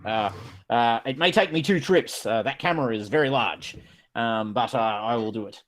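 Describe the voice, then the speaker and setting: A man's voice: mid-range voice, normal speed, a bit bright, close to an everyday voice. A man voicing a polite male servant who speaks eagerly and deferentially, with many hesitations. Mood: obliging.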